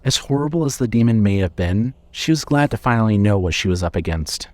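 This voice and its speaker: A man narrating scripted horror fiction in a measured, dramatic storytelling manner. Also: on the low side, expressive, darkish tone, no echo.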